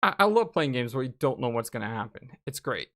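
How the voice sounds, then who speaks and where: A woman's voice: extremely low pitch, sing-song, fast, a bit bright. A woman chatting casually and expressively as she hosts a let's play, reading and commenting on a game. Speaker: let's play host reading visual novel lines aloud.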